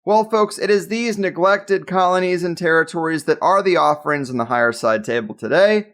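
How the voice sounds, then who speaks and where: Podcast host guy, kinda gravelly, higher pitched, hypes up his guest. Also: brisk, fairly bright tone. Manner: emphatic, hype-style introductions. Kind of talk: scripted intro then casual interview.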